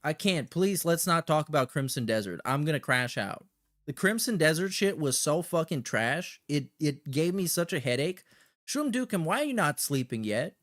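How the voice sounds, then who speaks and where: Slangy male livestreamer, slightly high voice. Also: sing-song, normal speed, a bit bright, no echo, studio quality. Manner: slangy, sweary, sarcastic. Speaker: livestream host. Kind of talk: casual chat with shout-outs and commentary.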